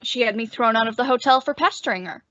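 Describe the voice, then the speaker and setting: A woman's voice: on the high side, quick pace, a bit bright, dry recording, close to an everyday voice. A woman playing a desperate, lovesick husband with urgent, earnest pleading, in old-time radio drama style. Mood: worried. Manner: urgent, imploring delivery. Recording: dull lo-fi close dry recording.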